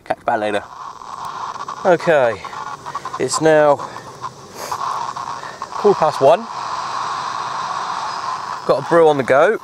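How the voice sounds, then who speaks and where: A man with a British accent chatting casually and informally to viewers in an unhurried, easygoing way, like an outdoor hobby vlogger. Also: big pitch swings. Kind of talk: casual outdoor vlog chat. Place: outdoors at a windy makeshift shelter. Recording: noisy outdoor recording with ambient rumble.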